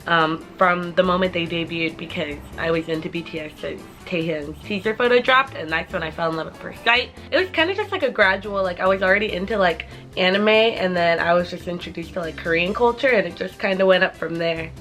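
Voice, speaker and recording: A woman's voice: on the low side, brisk, hardly any pauses, fairly bright tone, faint music behind. Chatty young woman rambling to camera. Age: 20s. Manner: chatty, rambling with many "like" fillers. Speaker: vlogger. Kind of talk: casual Q&A chat to camera.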